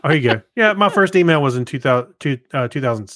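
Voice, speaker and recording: Low-key man in a dry recording. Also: animated, fast talker, fairly bright tone, no echo. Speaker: podcast co-host. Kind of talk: casual podcast chat.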